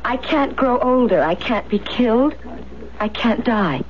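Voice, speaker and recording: Immortal young woman, earnest and insistent, animated delivery, 1970s radio drama, hissy dull recording. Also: a little high, a little slow. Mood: insistent, anguished. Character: ageless eighteen-year-old immortal woman, earnest, insistent.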